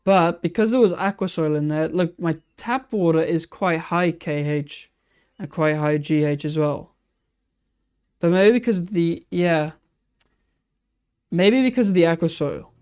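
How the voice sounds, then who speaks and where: Soft-spoken hesitant aquarium streamer. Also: mid-range voice, unhurried, clean tone. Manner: hesitant, thinking aloud with many restarts; reads out and answers viewer comments. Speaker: aquarium channel host. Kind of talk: casual live-stream chat reacting to old videos.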